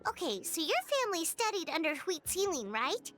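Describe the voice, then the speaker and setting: Small inquisitive fairy sidekick, game role, extremely high and animated, over music. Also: female voice, brisk, exaggerated voice. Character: small childlike female fairy companion, perky and inquisitive. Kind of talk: video game voice acting. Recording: music behind the voice.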